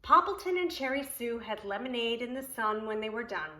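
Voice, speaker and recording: A woman's voice: a little high, animated, a little fast, pushed and bright. A woman preschool teacher reading a story aloud to young children, expressively, with simple explanatory asides.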